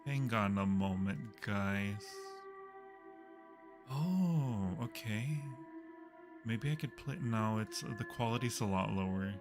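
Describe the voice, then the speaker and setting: A man's voice: on the low side, slow. Casual streamer: man, very leisurely talker, playful with his viewers, background music under the voice. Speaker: livestream host. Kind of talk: casual game livestream chat.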